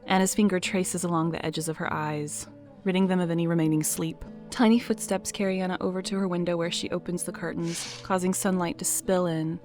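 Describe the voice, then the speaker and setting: A woman narrating an audio drama in a measured, dramatic storytelling manner. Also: on the low side, fairly even intonation, darkish tone, soft background music.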